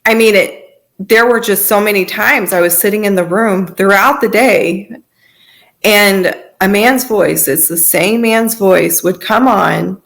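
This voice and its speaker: A woman's voice: mid-range voice, a little slow, vocal fry, fairly bright tone. An American woman, around her thirties, telling a personal story in a conversational way as a podcast guest.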